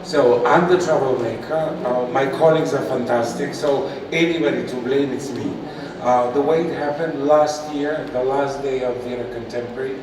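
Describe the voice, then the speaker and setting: A man's voice: mid-range voice, fairly even intonation, normal speed. A man from Bulgaria, an art advisor speaking candidly and self-deprecatingly at a press conference. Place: large historic hall. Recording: echoey hall, distant mic, background noise.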